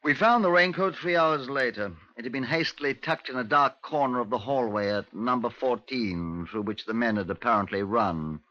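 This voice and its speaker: An actor playing a brisk, methodical British police inspector in a 1950s radio drama, with a clipped, formal, measured delivery. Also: male voice, medium pitch, pushed and bright, no echo, close to an everyday voice. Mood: matter-of-fact. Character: brisk, methodical male Scotland Yard inspector. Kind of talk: 1950s radio drama acting, narration and dialogue. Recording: dull, lo-fi 1950s radio recording.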